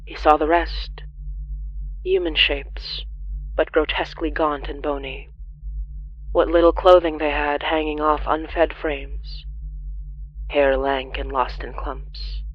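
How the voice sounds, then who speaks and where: Grave, measured horror narrator, mid-pitched. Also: fairly even intonation, highs cut off, slight background noise. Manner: grave, measured delivery with deliberate pauses. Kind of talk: scripted dramatic horror narration.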